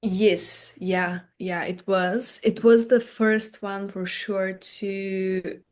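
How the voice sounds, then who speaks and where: A woman in her thirties from Bulgaria, a podcast guest telling her story in a relaxed, soft-spoken way that fades quietly at the ends of phrases. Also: mid-range voice, extremely slow. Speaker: podcast guest, meditation teacher. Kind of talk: casual conversation, storytelling.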